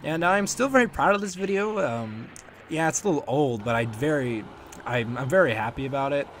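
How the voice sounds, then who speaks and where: A man giving a casual, unscripted solo commentary as a creator, in a rambling, halting way and often chuckling. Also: fairly high pitch, expressive, fairly bright tone, a little hiss or hum. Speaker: fan dub creator, commentator.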